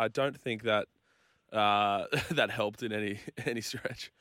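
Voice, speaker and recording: A man with a slightly croaky voice, chatting casually about rugby league as a studio guest. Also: lowish voice, unhurried, dry recording. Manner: relaxed, chatty, laughs easily. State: slightly croaky after losing his voice. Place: radio studio.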